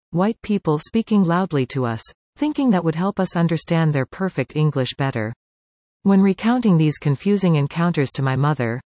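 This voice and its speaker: A woman in her forties from Canada reading a personal essay aloud, with careful, clearly enunciated speech. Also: low-pitched, mellow, no echo. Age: 40s.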